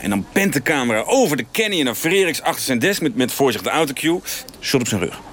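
A man's voice: medium pitch, big pitch swings, fast talker, fairly bright tone. A man playing an earnest, frustrated TV news director with cinematic dreams, speaking hesitantly and repeating himself in a mock radio interview. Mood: dreamy, dramatic. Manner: hesitant, rambling, repeats himself, self-serious. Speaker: interview guest.

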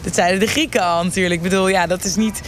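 A woman's voice: lowish voice, steady tone, quick pace, natural voice. A woman playing a self-absorbed, shallow trendwatcher who chatters in trendy slang and trails off, in a satirical radio street interview. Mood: gushing, defensive. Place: outdoors on a shopping street. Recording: outdoor street noise.

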